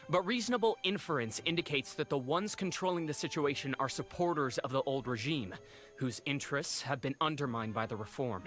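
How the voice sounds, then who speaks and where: A man's voice: fairly high pitch, fairly bright tone. A man voicing a dutiful guard giving formal, matter-of-fact reports in a video game.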